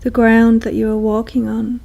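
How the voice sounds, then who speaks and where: A woman leads a guided meditation in a soothing, hushed manner, leaving long pauses. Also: fairly high pitch, creaky, dull audio. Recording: soft music behind voice. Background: calm meditation music.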